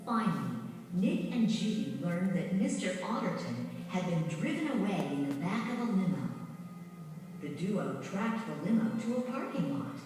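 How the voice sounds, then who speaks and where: A woman reading a scripted children's story in a measured, dramatic storytelling manner. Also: on the low side, very animated, darkish tone. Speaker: storybook narrator. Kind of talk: scripted children's read-along narration. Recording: very echoey, distant, music behind. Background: music.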